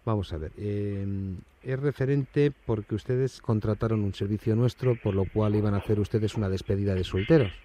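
A man's voice: fairly low pitch, normal speed, mellow, a little hiss or hum, pitched below the performer's own voice, close to an everyday voice. A man with a Spanish accent from Spain playing an indignant, bullying company manager on a prank phone call, speaking in formal, hesitant phrases or blunt, coarse threats. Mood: stiff, formal.

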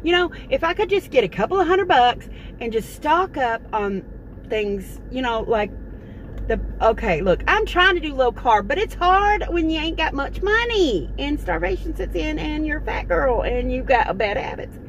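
A woman's voice: high voice, big pitch swings, moderate pace, lo-fi sound. Middle-aged woman, meandering folksy chatter, parked car, noisy background. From Texas. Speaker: vlogger. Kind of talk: casual rambling chat to camera. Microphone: phone camera at close range. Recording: car interior with noticeable background noise.

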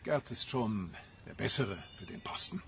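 An actor playing a defensive, smooth-mannered male finance colleague in a radio crime drama. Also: on the low side, lively intonation, moderate pace, a bit bright, slight background noise. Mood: affable, evasive.

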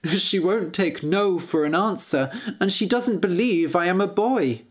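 A woman's voice: on the low side, measured pace. A woman voicing a timid, sensitive young prince in a storybook tale, with a hesitant and emotional manner. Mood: sad, fearful.

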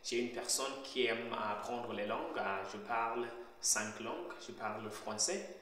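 A young man from Zimbabwe whose first language is Shona, talking casually to his laptop camera in a bare kitchen, pausing often to search for words. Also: on the low side, a bit bright. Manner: hesitant, searching for words with frequent pauses. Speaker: language learner vlogger. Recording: very echoey bare room with faint hum.

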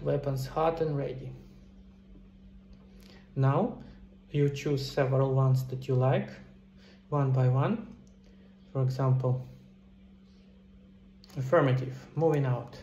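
A man's voice: mid-range voice, creaky, dark tone, highs cut off. A middle-aged man giving a casual step-by-step tutorial, explaining things directly to viewers as a game developer. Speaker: video tutorial presenter, indie game developer. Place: indoor room. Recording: roomy echo, some background noise.

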